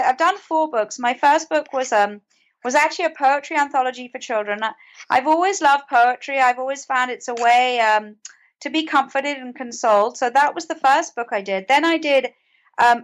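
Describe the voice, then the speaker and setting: A woman with a British accent speaking as an interview guest, earnest and conversational, often repeating words as she goes. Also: high voice. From United Kingdom. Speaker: podcast guest, author and mental health advocate.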